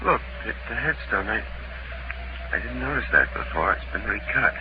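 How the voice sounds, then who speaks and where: An actor playing an anxious husband in a 1940s radio drama, halting and urgent. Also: male voice, fairly low pitch, animated, natural voice. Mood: shaken. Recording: muffled, noisy old radio recording.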